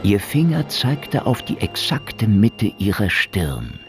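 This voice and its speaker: An actor playing a sardonic, world-weary ex-agent in a noir radio drama, brooding and deadpan in his delivery. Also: lowish voice, slow pace, full character voice. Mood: grim. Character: world-weary, sardonic hard-boiled man, jailed ex-state-security agent. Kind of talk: noir first-person radio drama narration. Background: music.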